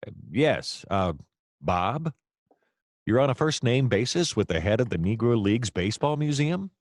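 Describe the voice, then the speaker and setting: An actor with an American accent playing a hapless, wry male private detective in a noir audio play, deadpan and sometimes flustered. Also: medium pitch, moderate pace, no echo, clean studio sound, close to an everyday voice. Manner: wry, deadpan narration, hesitant and flustered in dialogue.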